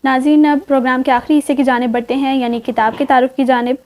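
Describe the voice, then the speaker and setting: A woman's voice: high voice, flat delivery, fast talker, darkish tone. A woman with a Pakistani accent hosts a literary programme in formal, courteous and polished phrasing. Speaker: host.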